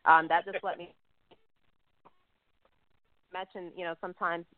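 A woman's voice: fairly low pitch, flat delivery, slow, no echo. A woman hosting a faith-based radio interview, chatty and affirming, peppering her talk with "you know.". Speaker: talk show host. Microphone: phone. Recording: tinny phone line, dry.

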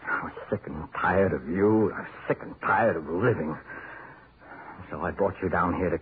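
An American actor playing a desperate, guilt-ridden husband trapped underground in 1940s radio horror, pleading in a halting, melodramatic way. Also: male voice, low voice, lightly acted. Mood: bitter confessing. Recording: scratchy, narrow 1940s radio recording with hiss.

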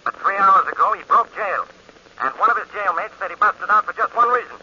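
Gruff police inspector, fast, sharp-toned, old radio hiss. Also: male voice, high voice, lively intonation, exaggerated voice. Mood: urgent. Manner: gruff, urgent. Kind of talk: 1940s radio acting. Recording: dull hissy old radio broadcast.

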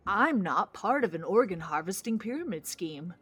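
A woman's voice: medium pitch, big pitch swings, moderate pace, fairly bright tone, breathy edge. An actress playing an earnest, nervous young woman on a blind date in an audio drama. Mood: reassuring.

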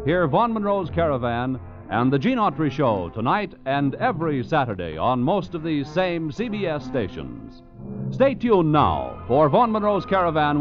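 A man announcing for 1940s network radio with a formal, polished, promotional scripted delivery. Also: a little high. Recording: muffled old 1940s radio recording.

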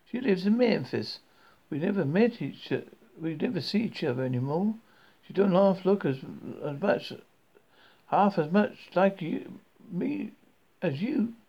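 A man's voice: high-pitched, animated, a little slow, vocal fry, breathy edge, highs cut off, voice pushed up, full character voice. A man voicing a chatty, friendly young woman with a Southern US accent, gushing and talkative.

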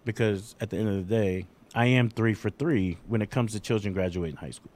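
A man in his forties with a fairly low voice chats loosely and candidly. He rambles through his thoughts, restarts sentences and pokes fun at himself. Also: fairly quick, darkish tone, slight background noise. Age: 40s. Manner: rambling, candid, self-deprecating. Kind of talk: casual chat.

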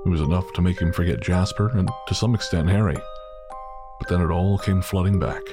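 Deep-voiced man narrating drama over music. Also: brisk. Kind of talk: scripted dramatic story narration. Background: music, rain and storm sound effects.

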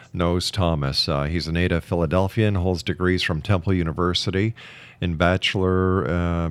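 A man's voice: low voice, dry recording. A man hosting a radio interview show in a formal announcer manner. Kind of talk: radio interview hosting, reading a guest introduction.

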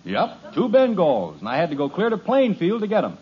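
A man's voice: high voice, wildly swooping pitch, fairly quick, breathy edge, full character voice. An actor playing a harried suburban father who is sarcastic and exasperated, in 1950s radio comedy. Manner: exasperated, wry. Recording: muffled old radio broadcast.

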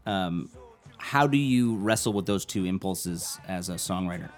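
Male interview host, lower voice, easygoing and musing, soft music underneath. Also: lively intonation, a little slow. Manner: thoughtful, loose phrasing with many "you know"s. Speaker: podcast host. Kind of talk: casual conversational interview.

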